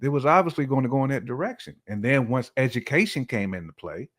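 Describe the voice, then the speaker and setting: A man's voice: mid-range voice, lively intonation, quick pace, a bit bright. An American man talking casually in a conversational discussion, with an informal, colloquial way of speaking. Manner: colloquial, informal, acts out quoted remarks.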